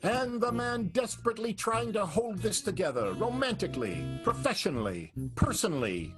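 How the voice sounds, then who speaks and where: A man announcing an improvised radio comedy show in a showy, theatrical way with drawn-out emphasis. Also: sits high, very animated, loud music behind the voice. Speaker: announcer, narrator.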